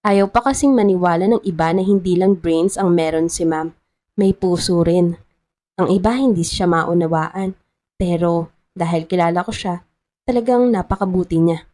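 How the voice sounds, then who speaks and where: A woman's voice: on the low side, moderate pace, dark tone, close to an everyday voice. A woman with a Filipino accent voicing a loyal, admiring onlooker in a story, talking casually.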